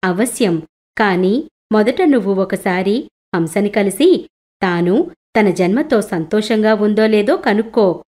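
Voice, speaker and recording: Creator god, animated moral tale: female performer as benevolent male deity, measured and reassuring. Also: medium pitch. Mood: instructing.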